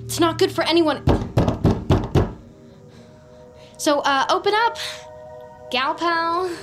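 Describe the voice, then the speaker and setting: A woman's voice: very high voice, unhurried, loud music behind the voice. An actress playing an anxious, scheming woman in an audio drama, sardonic and dramatic, often talking to herself. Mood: pleading, urgent. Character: Nancy, anxious, scheming, vindictive woman in crisis. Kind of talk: scripted fiction podcast acting, monologue and dialogue.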